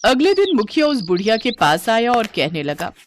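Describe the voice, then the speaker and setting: A woman's voice: a little high, very animated, moderate pace, no room sound. A woman narrating a scripted moral story as a storyteller.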